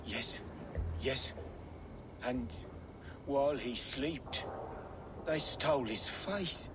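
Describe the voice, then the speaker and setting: Slow, childlike simple gravedigger. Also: male voice, medium pitch, noisy background. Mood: mournful, simple. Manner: slow, halting, repeats words, third person. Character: simple-minded gravedigger, childlike, mournful, speaks of himself by name. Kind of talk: video game character acting.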